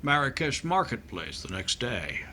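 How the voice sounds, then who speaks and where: A man's voice: medium pitch, big pitch swings, measured pace, pushed and bright. A man playing a fussy, naive, folksy American doctor with a hesitant manner in an old-time radio comedy, on a noisy recording. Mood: earnest recounting.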